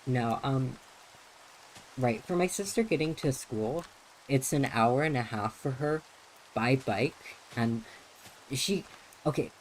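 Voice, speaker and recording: A man's voice: medium pitch, a little hiss or hum, rough recording. A young man streaming a game, chatting casually in a neutral, feminine-leaning voice, rambling with many restarts and fillers. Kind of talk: casual live-stream chat while playing.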